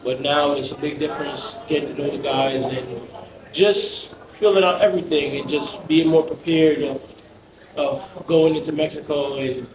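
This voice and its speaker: Thirties male player from Jamaica, monotone, crowd noise. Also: a little high, normal speed. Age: 30s. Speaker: national team basketball player. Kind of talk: answering press questions. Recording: roomy, crowd noise behind.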